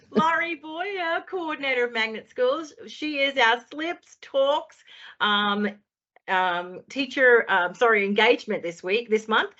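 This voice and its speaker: A woman's voice: on the high side, expressive, slow pace, bright, forceful tone. A woman hosting a webinar, introducing speakers in a cheerful, giggly way over a video call. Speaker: webinar host. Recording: dull video-call audio.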